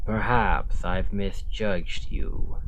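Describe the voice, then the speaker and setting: A man's voice: deep voice, fairly bright tone, slight background noise, exaggerated voice, poor audio. A man playing a frustrated, menacing and possessive Demon King in a theatrical, brooding ASMR roleplay monologue. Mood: bitter, scornful. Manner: slow, theatrical, brooding villain delivery.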